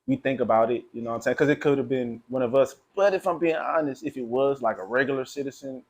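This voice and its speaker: A man's voice: mid-range voice, fast talker, a little mellow. Laid-back man hosting a podcast. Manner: laid-back, slangy questions. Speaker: podcast host. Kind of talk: casual interview.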